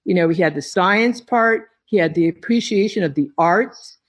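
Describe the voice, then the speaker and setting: A woman giving a reflective interview as a guest, speaking in a halting, thoughtful way with phrases broken by pauses. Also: fairly low pitch. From not originally from Hawaii, long resident there. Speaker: podcast guest, tea grower and company head.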